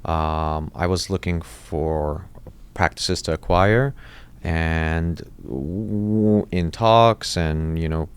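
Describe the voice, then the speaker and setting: A man's voice: low-pitched, fairly even intonation, very slow, clear, resonant tone. A dentist in his thirties, born in Iraq and raised in Los Angeles, telling his story in a casual interview, with many restarts and fillers. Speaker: dentist, podcast guest.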